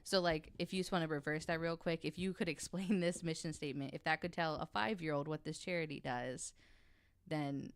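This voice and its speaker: Low-pitched woman with a clear, smooth voice. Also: fairly even intonation, fairly quick. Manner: informal, frank. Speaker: podcast panelist. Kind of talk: casual explanatory podcast talk.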